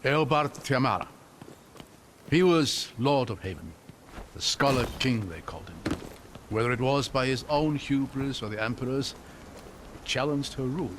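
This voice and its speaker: An actor playing a curious, learned scholar in a fantasy video game, articulate, formal and thoughtful. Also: male voice, medium pitch, moderate pace, long pauses, background noise, lightly acted. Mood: scholarly, somber. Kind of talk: dramatic video game acting.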